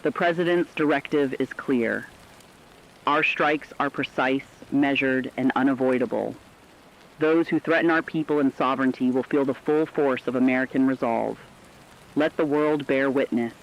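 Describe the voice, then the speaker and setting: Guy doing a stern radio war reporter, declamatory, mid pitched, muffled lo-fi sound. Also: normal speed, natural voice. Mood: stern, resolute. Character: resolute male war correspondent on radio. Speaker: news correspondent. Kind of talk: scripted dramatic news narration.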